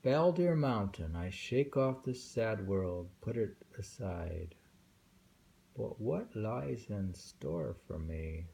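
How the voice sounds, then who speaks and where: Slow, gravelly man reading poetry. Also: on the low side, expressive, warm tone, a little hiss or hum. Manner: slow, musing, with thoughtful hums. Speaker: reader of translated poetry. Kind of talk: reading poems aloud with casual commentary.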